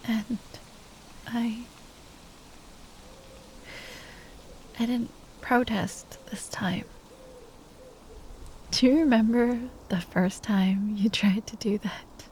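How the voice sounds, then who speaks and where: A woman playing a proud, grieving royal knight in a fantasy ASMR roleplay, speaking softly and haltingly, often in a breathy whisper, tender with a wry edge. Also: fairly high pitch, fairly even intonation, slight background noise, rough recording. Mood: wistful, tender.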